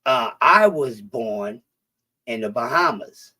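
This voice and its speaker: Philly guy on a stream, swears a lot, rambles and restarts, mid pitch. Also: animated, takes it slowly, sharp tone. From Philadelphia. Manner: profane, rambling, with many restarts. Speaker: stream guest. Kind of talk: casual chat.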